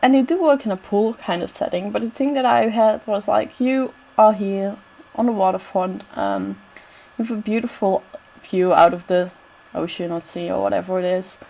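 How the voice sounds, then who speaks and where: A woman's voice: mid-range voice, steady tone, normal speed, vocal fry, mellow. A woman with a bad cold, her voice straining, giving casual rambling commentary over a building game with lots of "I don't know" asides. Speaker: game builder narrating a renovation.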